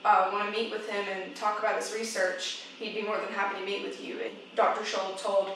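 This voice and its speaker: A woman's voice: medium pitch, little pitch movement, brisk, vocal fry, a bit bright. A young woman from South Carolina giving casual interview answers as an undergraduate student. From South Carolina, United States. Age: young adult. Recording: very echoey room, distant mic, faint noise.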